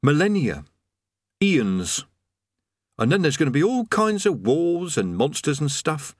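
Weary, cowardly wizard, read by a male narrator: dry and gloomy, lively in pitch, close dry recording. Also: a little slow, dry recording. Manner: dry, gloomy, matter-of-fact. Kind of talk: audiobook character voice.

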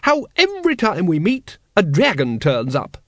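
A man's voice: high-pitched, huge pitch swings, normal speed, fairly bright tone, dry recording, studio quality, pitched above the performer's own voice, exaggerated voice. A man voicing a hearty, arch upper-class woman who talks in an emphatic, gushing way in a storybook. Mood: arch, admiring.

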